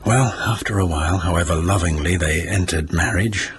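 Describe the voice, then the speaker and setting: A man playing a snobbish, deep-voiced palace lord. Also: moderate pace, background noise, lightly acted. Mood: condescending. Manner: condescending, silky, snobbish. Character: haughty aristocratic palace lord, scornful. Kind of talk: radio drama acting.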